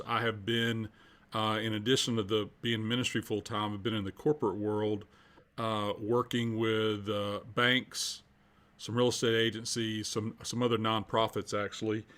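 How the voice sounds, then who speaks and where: A man's voice: medium pitch, a bit bright. A man in his fifties with an American accent, answering interview questions conversationally and earnestly, repeating phrases for emphasis. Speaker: podcast guest, culture coach and minister.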